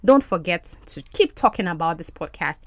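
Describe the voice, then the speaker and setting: A woman whose first language is Yoruba, hosting a podcast as an English language coach and speaking in an animated, encouraging way. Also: lowish voice, moderate pace, no room sound. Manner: animated, encouraging teacher's delivery.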